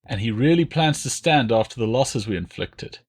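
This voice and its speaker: A man as a measured, commanding Roman general. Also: medium pitch, animated, breathy edge. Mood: stern, probing. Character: commanding, shrewd Roman army commander interrogating a prisoner. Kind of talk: audiobook character voice.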